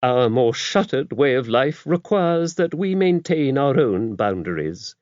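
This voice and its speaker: Audiobook nun, played by a man: genteel, forthright, precise, very animated intonation. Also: medium pitch, a little slow, no echo, highs cut off, voice pushed up. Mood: genteel, confiding. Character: outgoing, chatty nun, gently forthright woman. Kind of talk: audiobook character dialogue.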